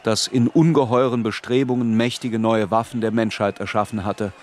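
An actor playing a man recalling his youth, narrating a literary radio drama in a measured, grave, reflective way. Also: lowish voice, steady tone, close to an everyday voice.

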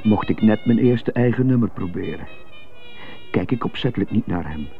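Brooding prisoner, narrow phone-like sound. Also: male voice, fairly low pitch, lively intonation, moderate pace. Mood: bitter, brooding. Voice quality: stutter on some words. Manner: muttering, self-questioning monologue in short bursts. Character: jazz-loving stuttering prisoner, brooding and indignant. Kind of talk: radio drama monologue. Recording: muffled narrow phone-like sound, music behind.

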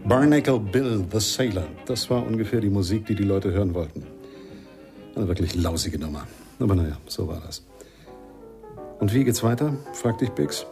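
A man's voice: on the low side, normal speed, music in the background, close to an everyday voice. A man playing a jazz musician who wistfully recalls an old friend in a radio play, conversational and reflective.

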